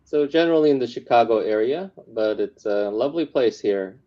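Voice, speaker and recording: A man who came from Korea as a child and grew up in New York City, answering interview questions as a tech founder, pausing often with uh and um. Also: mid-range voice, expressive, dull audio. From Korea, raised in New York City. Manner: hesitant, many uh and um fillers. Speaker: tech company founder, guest. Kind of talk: interview answers on a remote call.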